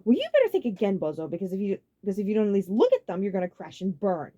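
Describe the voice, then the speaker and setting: A woman's voice: medium pitch, very animated, rapid-fire, dark tone, dull audio, pitched above the performer's own voice. A woman voicing a sarcastic, short-tempered older brother who is passionate about music, in an audiobook story. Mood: seething. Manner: exaggerated, seething sarcasm.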